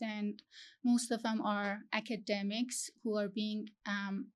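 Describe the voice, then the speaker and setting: A young woman from Iran, talking as an interview guest, halting and searching for her words with many fillers. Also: mid-range voice. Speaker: guest, PhD student. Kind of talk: interview conversation.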